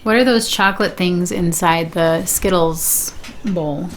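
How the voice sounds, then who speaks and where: A woman's voice: on the low side, a little slow. A woman chatting casually as a guest on a game stream. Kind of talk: casual chat.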